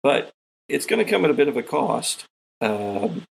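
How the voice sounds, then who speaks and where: A man running a tabletop roleplaying game as game master, explaining things deliberately in a conversational way. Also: medium pitch, big pitch swings, gravelly, husky, poor audio.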